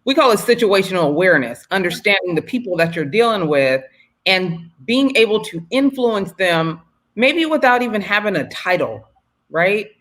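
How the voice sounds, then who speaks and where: A woman hosts an interview and asks her questions in an encouraging, affirming way. Her voice sits at a middle pitch. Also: very animated, moderate pace, fairly bright tone. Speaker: host. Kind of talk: conversational interview.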